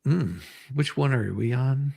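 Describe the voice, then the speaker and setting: A man's voice: mid-range voice, measured pace, gravelly, darkish tone, husky, dry recording, clean studio sound. A man hosting a casual livestream with dramatic reading, joking around and repeating his phrases playfully. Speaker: livestream host reading a deposition.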